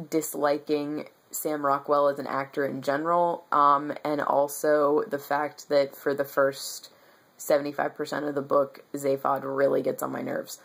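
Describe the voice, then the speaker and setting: A woman's voice: sits low, vocal fry, fairly bright tone. A young woman with a stuffy nose and a tired voice chats about books on her webcam, rambling with lots of ums. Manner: rambling and enthusiastic, many ums. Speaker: book vlogger. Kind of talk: casual monthly reading wrap-up. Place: bedroom with bookshelves, indoors.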